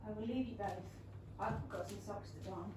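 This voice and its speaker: A woman playing a witty, well-meaning young gentlewoman with a wry manner in a staged comic period play. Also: mid-range voice, moderate pace, natural voice. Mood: awkward, wry. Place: small theatre stage, before an audience. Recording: very echoey theatre, distant mic, noisy.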